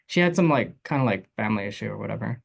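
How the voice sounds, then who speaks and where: A man's voice: on the low side, expressive, rapid-fire, gravelly. A man speaking in an offhand, hesitant way on a casual panel.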